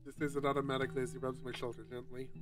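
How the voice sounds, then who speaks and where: A man's voice: mid-range voice, level tone, racing, recorded from a distance, over music. A man streaming a visual novel, reading its narration aloud in a dramatic storytelling style.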